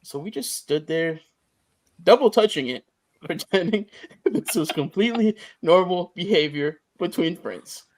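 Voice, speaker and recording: A man's voice: sits high, lively intonation, measured pace. A man hosting a podcast, joking and teasing his guest in casual chat. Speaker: podcast host.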